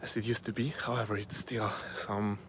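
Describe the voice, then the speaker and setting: A man's voice: on the low side, level tone, normal speed, slight background noise. Polish-accented man vlogging live. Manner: searching for words, frequent fillers and restarts. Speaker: self-improvement vlogger. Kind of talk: casual live-stream monologue. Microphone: phone.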